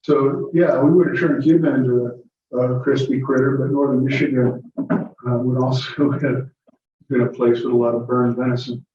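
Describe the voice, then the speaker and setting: A man's voice: medium pitch, steady tone, moderate pace, a little hiss or hum. A man giving a lecture, answering audience questions in a chatty, digressive way with chuckles. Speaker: guest lecturer. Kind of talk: informal Q&A with audience. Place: lecture room. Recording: echoey, muffled room from a distance.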